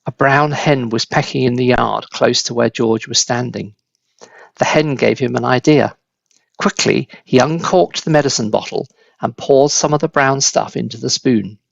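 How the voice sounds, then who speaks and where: A man narrating a children's book as an expressive storyteller, in a slightly dull, close recording. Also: mid-range voice, normal speed, gravelly, no echo.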